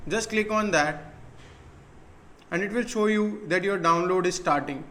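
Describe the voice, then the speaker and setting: A man with an Indian accent presenting a step-by-step software tutorial. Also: high-pitched, measured pace.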